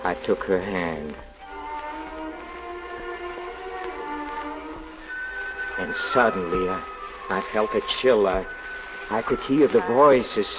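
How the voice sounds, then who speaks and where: Guy acting a tormented old-radio fortune teller, low voice, hesitant and repeating himself, muffled recording. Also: natural voice. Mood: fearful, hesitant. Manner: halting, brooding, repeats words with dramatic hesitation. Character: haunted, anguished carnival fortune teller, tender husband. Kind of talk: old-time radio drama acting, confessional narration. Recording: dull vintage radio recording, music underneath.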